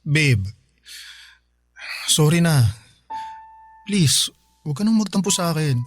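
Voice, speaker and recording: An actor playing an apologetic, questioning young boyfriend in a radio drama, with a Filipino accent. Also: male voice, fairly high pitch, animated, slow pace, a little hiss or hum. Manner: questioning, placating. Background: faint music.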